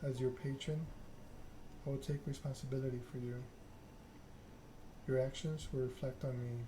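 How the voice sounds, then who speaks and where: Condescending vampire patroness, read by a man, formal game dialogue, even delivery, noisy background. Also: mid-range voice, normal speed, close to an everyday voice. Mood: coolly bargaining. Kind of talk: ASMR reading of game dialogue.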